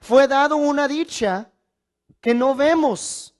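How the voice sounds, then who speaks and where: A man delivering a Bible teaching sermon as a preacher, phrasing things deliberately and emphatically, with rhetorical questions and repetition. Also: extremely high pitch.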